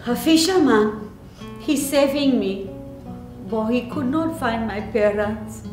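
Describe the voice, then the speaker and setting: Lady doing a storybook saint statue come alive, young venezuelan woman, high plaintive halting voice, echoey room. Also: a little mellow, distant mic. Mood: plaintive recounting. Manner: halting, repetitive, earnest recounting in simple broken English. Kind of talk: dramatic storybook reading. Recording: roomy echo, faint music behind.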